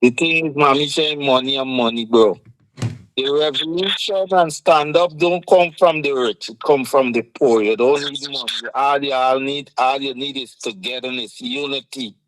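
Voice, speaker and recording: A man's voice: medium pitch, steady tone, moderate pace, fairly bright tone, no room sound, low-quality recording. A man with a Guyanese Creole accent co-hosting a casual chat, earnestly explaining points with examples. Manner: earnest, explaining with examples, frequent "you understand". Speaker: co-host.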